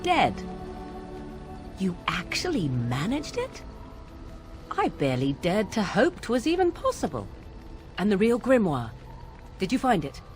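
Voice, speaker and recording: A haughty, guarded young witch from a fantasy game. The speech is slow and archaic, with extremely wide swoops in pitch. Faint music sits behind the voice. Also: wildly swooping pitch. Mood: wary, astonished. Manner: deliberate, archaic, theatrical.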